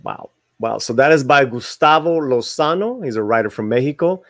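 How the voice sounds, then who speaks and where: Casting: male video host, mid-pitched, reads articles aloud and comments casually, tripping on words, dry recording. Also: expressive, normal speed, a bit bright, no room sound. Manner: stumbles over words while reading; informal asides to viewers.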